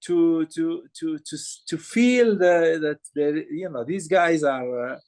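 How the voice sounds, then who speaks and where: A man from Morocco interviewing filmmakers, speaking haltingly with many fillers and restarts. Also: a little high, a little mellow.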